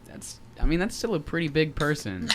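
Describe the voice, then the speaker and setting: A man's voice: fairly high pitch, big pitch swings, a little fast, heavy background noise. A man co-hosting a podcast, chatting casually and joking, often laughing between remarks. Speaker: podcast co-host. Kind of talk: casual chat.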